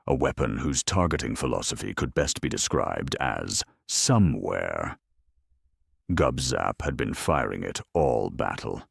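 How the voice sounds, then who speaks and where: A man narrating a scripted story dramatically, in a deliberate, grimly wry manner. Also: very deep voice, gravelly, breathy edge, dry recording, clean studio sound.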